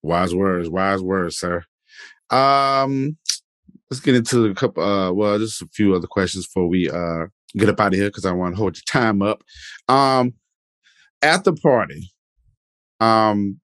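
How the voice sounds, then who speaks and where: A man with a fairly low voice hosts a podcast interview. His talk is casual and colloquial, with loose, informal phrasing. The recording sounds dry and free of room echo. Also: unhurried, a bit bright. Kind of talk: casual chat.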